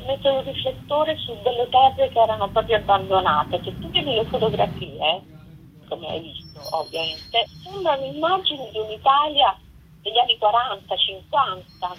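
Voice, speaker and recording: A woman's voice: a little high, husky. A woman, speaking reflectively and emphatically at length in a spontaneous radio interview. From Campania, Italy. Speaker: interviewed photographer. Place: remote phone call to a radio show. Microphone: telephone. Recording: narrow, tinny phone line.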